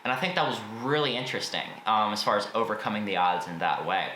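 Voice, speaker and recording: Bright-voiced man reviewing books, echoey room. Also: fairly low pitch, lively intonation, fast talker. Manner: informal, hedging, blunt opinions. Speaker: book reviewer and video host. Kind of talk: casual spoken book review. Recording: echoey room with faint background noise.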